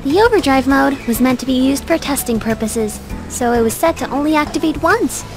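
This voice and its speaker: A woman's voice: sits high, a little fast, over music. A woman playing a confident, playful young female engineer in a voiced visual novel game. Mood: explaining, eager. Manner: playful, self-assured.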